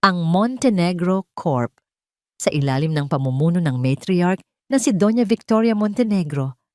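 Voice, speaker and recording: A woman storyteller delivers scripted dramatic narration for a romance audio story. Her voice sits a little low for a woman. The recording is dry, with no room around it. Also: a little mellow, dry recording.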